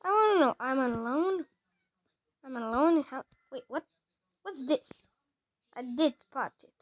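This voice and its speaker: High-voiced young woman gaming. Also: lively intonation, lo-fi sound. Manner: halting, talks to herself while playing. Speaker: gamer playing a horror game. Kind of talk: casual let's-play commentary.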